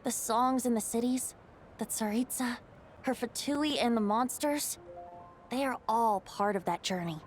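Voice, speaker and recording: A woman voicing a youthful male bard who is secretly a wise old god, speaking gently and playfully in scripted game dialogue. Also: fairly high pitch, natural voice. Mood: tender, wise. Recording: music under the voice. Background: music.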